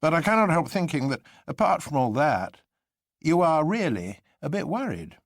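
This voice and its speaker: A man playing a quick, candid gentleman in a storybook, speaking directly with dry politeness. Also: fairly high pitch, sing-song, dry recording, voice pushed up. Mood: wry.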